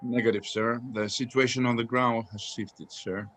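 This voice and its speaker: A man's voice: medium pitch, level tone, normal speed, a bit bright, close to an everyday voice. Man playing a formal, deferential army sergeant. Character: dutiful, hesitant army sergeant reporting to his superior. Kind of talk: screenplay table read.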